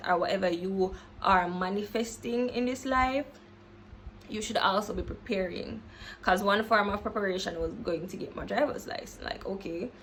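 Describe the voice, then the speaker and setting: A woman's voice: medium pitch, fairly bright tone, slight background noise, poor audio. A young woman in her twenties talking casually and emphatically to her viewers about self-belief, repeating herself and saying "literally" and "like" often. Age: young adult. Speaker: self-improvement vlogger. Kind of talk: casual motivational talk to camera.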